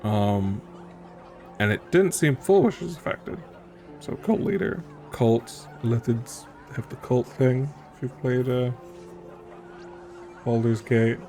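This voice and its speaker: Creaky male streamer, casual playthrough commentary, unhurried, musing, faint music underneath. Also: mid-range voice, dark tone. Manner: musing, thinking aloud.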